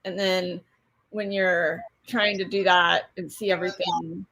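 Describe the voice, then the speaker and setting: A woman co-hosts a live stream over a video call and talks in a chatty way, with frequent pauses. Her voice is bright and sharp. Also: on the low side, fairly even intonation, rough recording. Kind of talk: casual chat.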